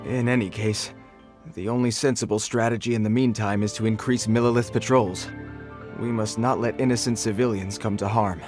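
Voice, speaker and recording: A man's voice: on the low side, fairly even intonation, moderate pace, music in the background, close to an everyday voice. Man acting a formal, earnest town guard. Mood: grave. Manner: formal, stiff, declamatory. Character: earnest, dutiful fantasy town guard soldier. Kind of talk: scripted video game character acting.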